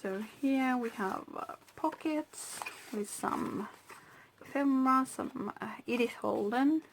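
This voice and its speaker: Slow, hesitant Finnish-native woman. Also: high voice, gravelly, a little hiss or hum. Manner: slow, hesitant, with pauses and soft whispered asides. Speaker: craft channel host. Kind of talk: casual crafting flip-through.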